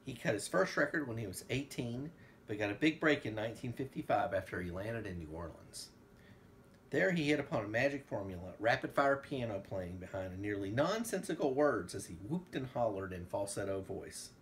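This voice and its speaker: Playful dad reading aloud, noisy recording. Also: male voice, fairly low pitch, animated, fairly quick. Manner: playful, breaks into song and asides. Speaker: father reading aloud to a child. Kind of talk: casual read-along of a picture book with sung snippets.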